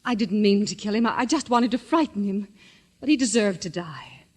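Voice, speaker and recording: Woman playing scorned female actress, 1940s radio drama, haughty, curt, bitter, medium pitch. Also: animated, brisk, a little mellow, close to an everyday voice.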